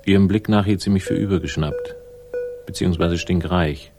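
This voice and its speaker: A man's voice: low voice, steady tone, normal speed, lightly acted. An actor playing a laconic, ironic investigator who narrates his case in a 1960s German crime radio drama. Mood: dry, wry. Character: wry, hard-boiled male investigator narrating his case. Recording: music behind the voice.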